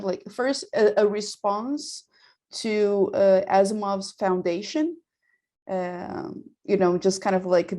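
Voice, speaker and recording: Female audience questioner, mid-pitched, very soft-toned timbre, informal and tentative. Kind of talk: casual conversational question.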